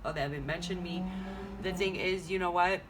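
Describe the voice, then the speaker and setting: Chatty low-voiced woman reading cards. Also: fairly even intonation, brisk, noisy background. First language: French. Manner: chatty, rambling, thinking aloud. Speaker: tarot reader. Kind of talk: casual card-reading vlog. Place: home room.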